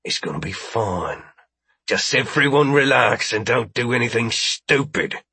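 A man's voice: medium pitch, expressive, a little slow, gravelly, bright, forceful tone, breathy edge, no echo, heavily acted. A man voicing a wry, crusty older veteran with a laid-back, joking manner in an audiobook. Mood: calm reassurance. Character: wry, crusty older male veteran, calm under threat. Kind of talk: audiobook character voice.

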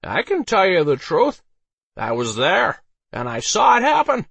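Drunk, defiant villager for a fantasy audiobook: high, excitable, slurred, mocking. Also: male voice, a little slow, gravelly, breathy edge, no room sound, full character voice. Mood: drunken, insistent. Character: drunken commoner man, mocking, laughing, defiant.

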